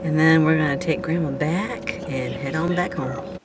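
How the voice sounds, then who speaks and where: A woman's voice: low voice, moderate pace. A middle-aged woman chatting casually to her children, relatives and the camera as a family vlogger. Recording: noisy handheld home-video recording.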